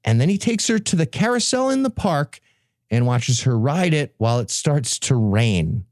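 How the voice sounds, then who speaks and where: A man's voice: medium pitch, sing-song, moderate pace, darkish tone, no echo, studio quality. A man hosting a comedy podcast, giving a casual, sarcastic and irreverent book recap. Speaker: podcast host presenting a book summary.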